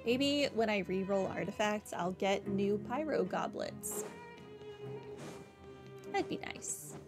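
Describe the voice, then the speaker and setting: A woman's voice: mid-range voice, animated, takes it slowly. A woman giving casual playthrough commentary as a game streamer, with dry, muttered asides. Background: game music.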